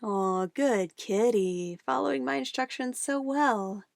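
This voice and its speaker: A woman playing a sweetly menacing, possessive witch in an ASMR roleplay, cooing and coaxing condescendingly in a soft voice. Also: a little high, slow pace, gravelly, dry recording, studio quality. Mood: gushing, adoring. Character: possessive young-sounding witch, sweetly menacing, doting on her familiar.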